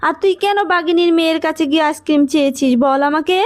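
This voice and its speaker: A woman's voice: high voice, fast talker, close to an everyday voice. A woman voicing a doting, fretful animal mother in a children's fable cartoon. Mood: exasperated.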